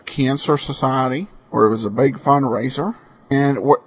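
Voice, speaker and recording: Male podcast host, creaky husky voice, hesitant off-the-cuff commentary on vintage radio. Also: medium pitch. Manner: halting, hesitant phrasing. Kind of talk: unscripted commentary on old radio shows.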